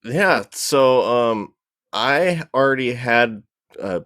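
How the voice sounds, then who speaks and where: A man's voice: medium pitch, lively intonation, slow pace, fairly bright tone, no room sound, clean studio sound. A man chatting casually as a guest on a gaming stream, laid-back and informal. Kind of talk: casual chat.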